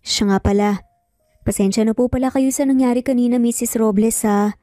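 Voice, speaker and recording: A woman's voice: fairly high pitch, fairly even intonation, a little fast, mellow, no echo, studio quality, faint music behind, natural voice. A woman voicing a resolute, principled woman lawyer with an earnest, emphatic way of talking in a dramatic story. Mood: polite, apologetic.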